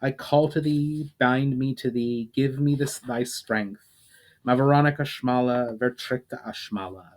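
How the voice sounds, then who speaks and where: Man voicing a chanting woman, slow incantation, storybook ritual. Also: medium pitch, fairly even intonation, darkish tone, close to an everyday voice.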